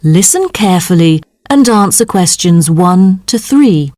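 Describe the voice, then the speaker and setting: A woman's voice: on the low side, lively intonation, a little slow, dark tone, no room sound. Woman reading formal exam instructions, dry studio sound. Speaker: listening test announcer. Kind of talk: scripted exam narration.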